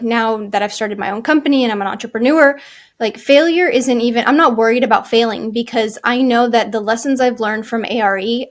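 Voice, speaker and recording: Architect woman as chatty guest, thirties, slightly high-pitched, loose and rambling. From Czech Republic, raised in California. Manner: chatty, fillers and restarts, frequent "like" and "you know". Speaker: guest, architect and firm founder. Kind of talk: casual interview chat.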